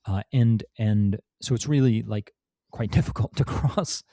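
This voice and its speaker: A man's voice: fairly low pitch, unhurried, warm tone, no room sound, clean studio sound. A man, a podcast guest and author, telling a history story in casual talk, with many fillers and restarts. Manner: rambling, many fillers and restarts, laughs now and then.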